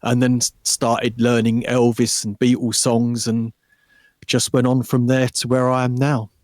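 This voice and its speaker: A man who is a guest musician, talking in a thoughtful, measured way with many restarts. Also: mid-range voice, monotone, darkish tone, no room sound, studio quality. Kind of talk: casual interview chat.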